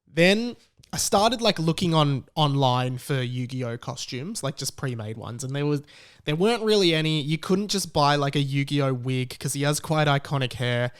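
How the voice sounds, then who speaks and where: An Australian man hosting a podcast, telling a personal story in a casual, chatty and animated way. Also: fairly high pitch, normal speed, smooth. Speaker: podcast host.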